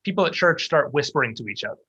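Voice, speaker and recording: Male stage-direction reader for a script table read; forceful, slightly raspy, pitched a little high. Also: expressive, fast talker, lo-fi sound.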